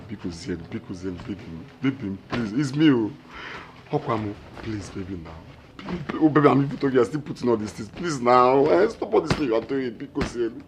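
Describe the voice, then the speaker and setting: A man's voice: on the low side, background noise. A man playing a pleading husband. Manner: begging, repetitive. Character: pleading husband caught between mother and wife.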